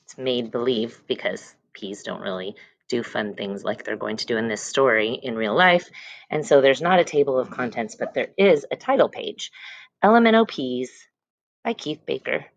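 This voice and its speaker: A woman's voice: very deep voice, expressive, moderate pace, creaky, muffled recording, slight background noise. A woman teacher reading a picture book aloud to young children, stressing words and letter sounds and adding short explanations. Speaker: elementary school teacher reading aloud to class.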